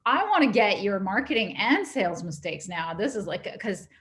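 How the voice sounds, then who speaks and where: A woman of medium pitch hosts a marketing podcast. She responds warmly to her guest and asks relaxed, encouraging follow-up questions. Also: moderate pace. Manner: warm, encouraging reactions and questions. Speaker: podcast host. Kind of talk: casual interview.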